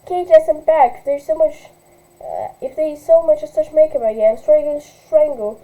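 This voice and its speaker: A woman's voice: high voice, moderate pace, highs cut off, voice pushed up, close to an everyday voice. A woman voicing a spoiled, dramatic teenage girl complaining in a bratty tone. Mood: indignant.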